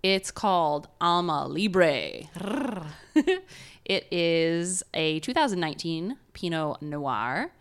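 A woman co-hosting a podcast in casual chat, a loud talker with a nasal voice who swears casually and laughs often. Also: lowish voice, animated, slow, clear, resonant tone. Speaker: podcast co-host.

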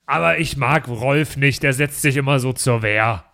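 Punning man with a medium-pitched voice. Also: moderate pace, creaky, bright, forceful tone. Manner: playful, given to puns. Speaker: player in an improvised pen-and-paper audio play. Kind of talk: casual improvised banter at a game table.

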